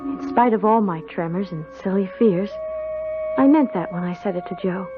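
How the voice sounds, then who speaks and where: A woman's voice: mid-range voice, normal speed, lightly acted. An actress playing an earnest young servant girl who tells her tale in a radio drama. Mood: reflective, uneasy. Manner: earnest, storytelling. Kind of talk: 1970s radio drama narration and dialogue. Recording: dull old radio recording. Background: prominent music.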